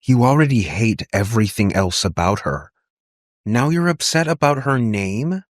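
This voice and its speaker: A man's voice: mid-range voice, lively intonation, moderate pace, no room sound, clean studio sound, voice pushed up, close to an everyday voice. A man voicing a sullen, touchy young girl in an audiobook, with a curt, accusatory manner. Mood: defensive. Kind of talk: audiobook character dialogue.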